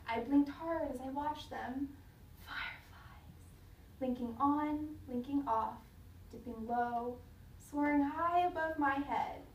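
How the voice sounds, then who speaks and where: A woman teacher reading a children's story aloud in a dramatic, expressive way, pausing to question her listeners. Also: high-pitched. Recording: roomy, distant mic, faint noise.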